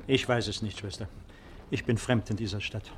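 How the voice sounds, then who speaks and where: Restrained, worried refugee played by an actor. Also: male voice, lowish voice, fairly even intonation, moderate pace, a little hiss or hum, close to an everyday voice. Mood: anxious, polite. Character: worried, soft-spoken male refugee visiting his injured brother. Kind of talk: 1950s German radio play acting.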